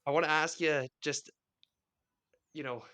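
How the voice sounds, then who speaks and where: A man hosting a podcast, talking in a relaxed, reflective and friendly way. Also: a little high, measured pace. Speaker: podcast host. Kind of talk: casual podcast conversation.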